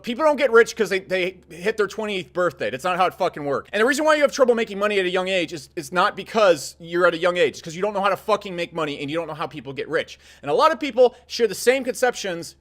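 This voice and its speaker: A man's voice: high-pitched, a bit bright. A man in his thirties giving blunt, profanity-laced business advice straight to camera. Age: 30s. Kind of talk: casual direct-to-camera advice talk.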